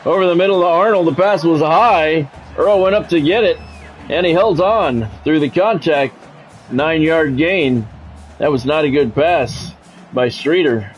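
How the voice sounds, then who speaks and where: A man with a voice a little higher than average delivers sports play-by-play for a video game football match. He talks conversationally, dropping dry, wry asides between calls. Faint music plays behind him. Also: unhurried, rough recording. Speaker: play-by-play announcer.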